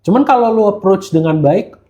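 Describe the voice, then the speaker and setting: A mellow-voiced Indonesian man speaks as a podcast guest. His pitch sits a little high for a man. He talks in a casual, slangy way and drops English phrases into his sentences. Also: lively intonation, moderate pace. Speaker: guest gemologist who hosts live streams.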